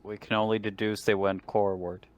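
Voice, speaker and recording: A man's voice: fairly low pitch, flat delivery, fairly quick. A man chatting casually as a player in a tabletop roleplaying game.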